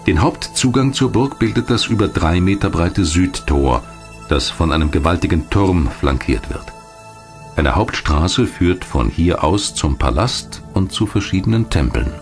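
A man reading scripted documentary narration in a measured, clearly articulated storytelling manner. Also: low-pitched, lively intonation. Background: music behind the voice.

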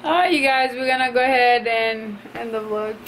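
A young woman vlogging casually with her partner, excitable and gleeful about winning, recorded on a handheld camera. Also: on the high side, moderate pace. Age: young adult. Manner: excitable, squeals when winning. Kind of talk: casual vlog chat. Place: bowling alley, then car and bedroom. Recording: noisy handheld camera audio.

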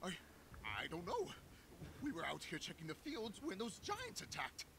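A man voicing a nervous young rabbit villager in an animated video game. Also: high-pitched, unhurried, creaky, exaggerated voice. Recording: noisy game ambience behind.

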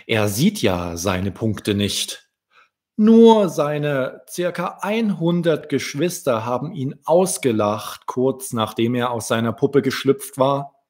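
A man in his thirties reading a story aloud to young children, gently addressing the listener directly. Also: mid-range voice. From Germany. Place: small room with wood-panelled wall. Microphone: desk microphone with pop filter, close.

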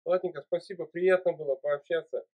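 A man's voice: on the high side, fairly even intonation, a little fast. A man in his forties chatting over a video call from a small room at home, giving fatherly encouragement. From Uzbekistan. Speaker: video chat host. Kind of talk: casual video chat. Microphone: webcam mic. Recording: tinny phone-like webcam audio.

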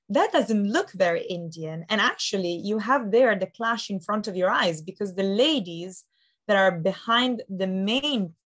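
A woman's voice: medium pitch, a bit bright. A woman in her thirties, an auction house specialist, talking with enthusiasm and many fillers on a video call from a study at home. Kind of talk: expert explaining art in a video call interview. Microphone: video call webcam.

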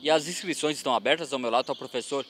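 A man's voice: medium pitch, slight background noise. A man in his thirties, a field reporter interviewing a guest in a sports gym with a handheld mic. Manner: brisk, bright questioning.

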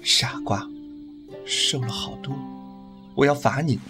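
A man's voice: mid-range voice, takes it slowly, music in the background, close to an everyday voice. A man playing a tender, teasing, devoted young lover in an audio drama.

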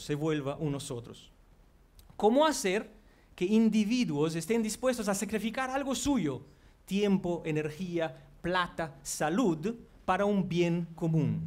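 A man's voice: sits high, darkish tone. A man in his forties from Brazil, a native Portuguese speaker with a Brazilian accent, giving an earnest psychology lecture to a large auditorium on a headset mic. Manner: earnest, walks the stage while talking. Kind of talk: public lecture. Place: large auditorium before an audience.

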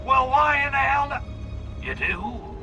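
A wisecracking alien invader in a video game, very high in pitch and unhurried. He is sarcastic and blustering, heard a little off the mic with loud music behind him. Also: animated, distant mic, highs cut off, exaggerated voice. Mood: smug. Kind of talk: video game cutscene acting.

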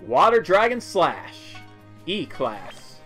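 Slow-paced man commentating over game music. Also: on the high side, huge pitch swings. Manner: offhand, wry asides. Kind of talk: casual gameplay commentary.

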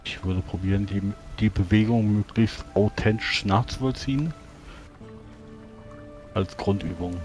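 A man's voice: fairly low pitch, unhurried, long pauses. A man playing a tabletop roleplaying game, hesitantly describing what his character does. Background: soft music.

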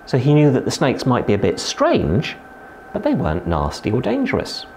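Animated zookeeper explaining reptiles. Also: male voice, moderate pace, slight background noise. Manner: lively, emphatic explainer with sudden pitch rises. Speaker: zookeeper giving a tour. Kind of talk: educational talk to camera. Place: indoor reptile house with lit terrariums.

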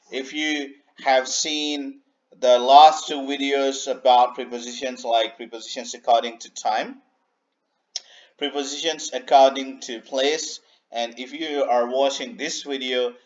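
A man explains English grammar over slides in an unhurried voice-over. He repeats points and leans on "okay" and "uh" as he goes. His voice is mid-pitched, and the audio is slightly dull and lo-fi. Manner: unhurried, repeats himself, many "okay" and "uh" fillers. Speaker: English teacher. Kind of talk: slide-based grammar lesson. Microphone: voice-over on a screen recording.

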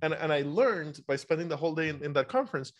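A chatty male podcast host from Costa Rica, talking in a fairly high voice over a video call. He often repeats words as he starts his sentences, and his delivery is loose and conversational. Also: lively intonation, fast talker. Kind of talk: casual interview chat. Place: bright home room. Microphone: video call with over-ear headphones.

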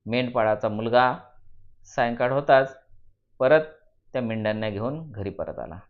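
A man narrating a moral story for students in deliberate phrases with dramatic emphasis. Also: medium pitch, phone-quality sound.

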